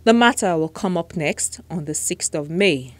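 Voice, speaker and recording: A woman's voice: on the low side, lively intonation, normal speed, dry recording. A woman in her thirties with a Nigerian accent, presenting and interviewing for a television news programme. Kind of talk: scripted news narration and interview.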